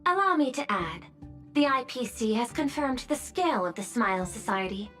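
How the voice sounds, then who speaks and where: A woman's voice: on the high side, lively intonation, moderate pace, a bit bright, faint music behind. A woman voicing a logical, formal female executive in a video game, with precise, clinical delivery. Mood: cool, businesslike.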